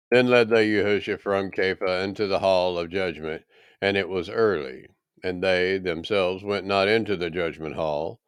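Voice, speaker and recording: A man with a deep, smooth voice reads scripture as dramatic narration. He takes his time, weighting each phrase with deliberate emphasis. The sound is close and dry. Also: fairly bright tone, dry recording. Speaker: scripture reader.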